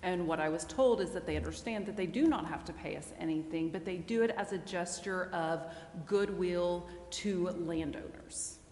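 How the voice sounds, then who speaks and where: A woman legal adviser explaining a matter to a public meeting in a measured way. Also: lowish voice, darkish tone. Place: council chamber. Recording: very echoey hall, faint noise.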